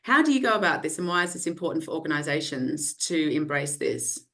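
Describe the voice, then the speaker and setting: Brisk woman moderating a webinar. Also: sits low, sing-song, fairly bright tone. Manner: brisk, summarising, leads into questions. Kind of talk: moderating a panel. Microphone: video call.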